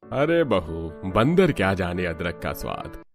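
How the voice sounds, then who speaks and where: Casting: man playing older cartoon father-in-law, chiding, lowish animated delivery, music bed. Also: unhurried, creaky, exaggerated voice. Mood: teasing. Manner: chiding, protective. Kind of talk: Hindi cartoon story dialogue. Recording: music behind the voice.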